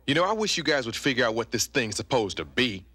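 An actor playing a put-upon, grumbling cartoon ghost hunter. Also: male voice, medium pitch, very animated, fast, background noise, lightly acted. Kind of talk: cartoon voice acting.

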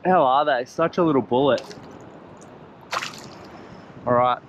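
A man's voice: mid-range voice, takes it slowly, muffled recording. A man with an Australian accent hosting a fishing show, giving chatty running commentary from a rocky ocean ledge and exclaiming often as he fights fish. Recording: outdoor surf noise. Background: crashing surf and waves.

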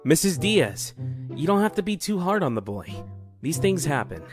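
A man's voice: a little high, sing-song, normal speed. A man playing a kindly, reassuring doctor with a professional manner in a cartoon comic dub. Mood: calm, reassuring. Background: background music.

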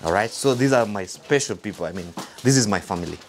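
Middle-aged Kenyan man, low voice. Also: expressive, fast, a little hiss or hum. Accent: Kenyan English. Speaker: interviewee, salon owner and manufacturer. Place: factory and salon, indoors.

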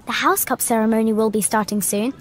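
A woman voicing a brisk, bossy young schoolgirl witch in a video game, prompting a friend. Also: a little high, steady tone, a little hiss or hum, close to an everyday voice. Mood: urging. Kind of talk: video game character dialogue.

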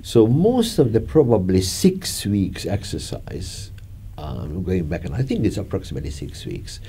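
Older Hungarian-accented man, deep and mellow. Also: big pitch swings, breathy edge. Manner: chatty, laughing, gesturing, halting. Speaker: film director. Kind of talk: reminiscing interview. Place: dark studio, seated in armchair.

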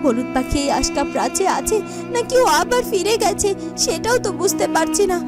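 A distressed young fairytale heroine, voiced by a woman, frets aloud in a very high cartoon voice. Her pitch moves animatedly as she repeats herself, with prominent music behind her. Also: very animated, brisk. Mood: anxious. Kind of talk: Bengali cartoon fairytale dialogue.

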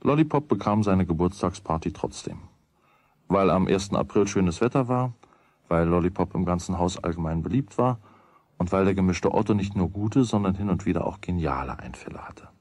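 A middle-aged man narrating a children's radio play as a calm storyteller. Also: low voice, fairly quick, no room sound. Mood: calm narration.

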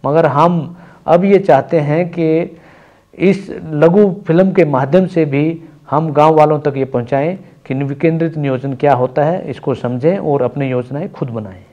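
A middle-aged man speaks as a training official in a soft-toned, mellow voice pitched fairly high. His prepared address is formal and instructive, delivered in an office. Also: moderate pace, muffled recording. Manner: formal, didactic. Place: office, indoors.

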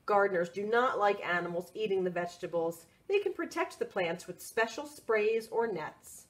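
A woman reading a picture book aloud for children's storytime, expressive and sing-song, prompting young listeners with questions. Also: mid-range voice, normal speed.

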